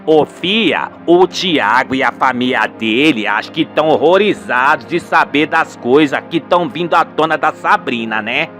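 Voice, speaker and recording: A man's voice: fairly high pitch, lively intonation, normal speed, hardly any pauses, a bit bright, soft background music, voice pushed down. A man voicing an older rural father, indignant and vengeful, with folksy, emphatic speech. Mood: scornful, determined.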